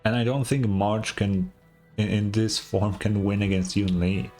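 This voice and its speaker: A man's voice: lowish voice, steady tone, measured pace, a little mellow, soft background music. Casual, stammering male game streamer. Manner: hesitant, stammering repeats of words. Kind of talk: casual live reaction commentary.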